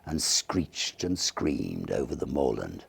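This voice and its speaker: A man giving a theatrical, measured narration of a Victorian ghost story, with dramatic pauses. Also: very deep voice, sing-song, gravelly, slightly rough. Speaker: audiobook narrator.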